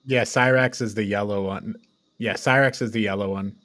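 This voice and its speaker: A man's voice: on the low side, steady tone, moderate pace, fairly bright tone, no room sound. A man co-hosting a review show, chatting and reacting informally with a note of exasperated banter. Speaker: co-host of a film review show. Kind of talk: casual chat, reacting and commenting.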